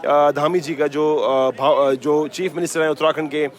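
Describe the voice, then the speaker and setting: A man's voice: a little high, fairly even intonation, moderate pace. A male radio host covers an event on location. He switches between Hindi and English phrases, gushing and often searching for words as he presents. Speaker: radio station host and interviewer at an expo. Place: busy expo grounds.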